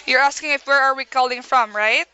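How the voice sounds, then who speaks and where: Muffled call audio, female sales agent, high-pitched, sharp tone. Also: brisk, soft background music. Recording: dull lo-fi phone call recording.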